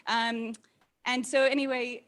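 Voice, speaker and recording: A woman in her thirties with a mixed, hard-to-place accent, telling personal stories informally and in an animated, candid way as a speaker to a live audience. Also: a little high, measured pace. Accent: hard-to-place mixed accent, neither clearly American, New Zealand nor Australian. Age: 30s. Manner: animated, candid, acts out quoted thoughts. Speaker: speaker at a community meetup.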